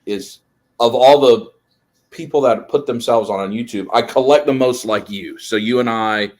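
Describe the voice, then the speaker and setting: A man's voice: mid-range voice, normal speed, a little mellow. A man in his early forties hosting a casual live-stream interview, chatty, with frequent stumbles and restarts. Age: 40s.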